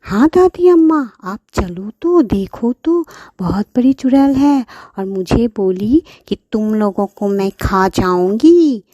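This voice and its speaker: A woman voicing a clever young girl in a children's cartoon, calling out and coaxing in drawn-out pleas. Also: mid-range voice, lively intonation, mellow, no echo, dull audio. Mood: coaxing, persuasive.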